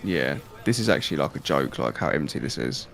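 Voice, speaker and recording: A man's voice: a bass voice, animated, a little fast, vocal fry, a bit bright. A man co-hosting a casual gaming livestream, commenting in a blunt, sweary way. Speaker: game streamer co-host.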